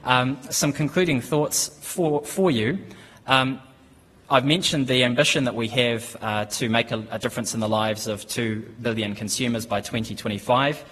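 A man in his thirties with a New Zealand accent, speaking at a lectern microphone in a conference hall. His pitch sits in an ordinary middle range, and he talks in a measured, businesslike way, pausing often with ums. The long reverb of the hall trails behind his words. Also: husky. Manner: measured, steady, with frequent ums and restarts. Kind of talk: business presentation.

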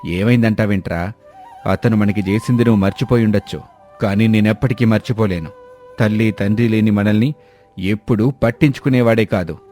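Man acting a stern, bright-voiced village elder brother. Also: fairly low pitch, a little fast, soft background music, close to an everyday voice. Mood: angry, bitter. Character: stern, grudge-holding elder brother, village fish trader. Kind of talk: dramatised moral story dialogue.

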